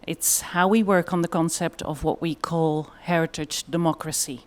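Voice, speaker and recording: A woman's voice: deep voice, steady tone, moderate pace. A woman with a Dutch accent giving a conference presentation in a thoughtful way, with frequent fillers. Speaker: conference speaker.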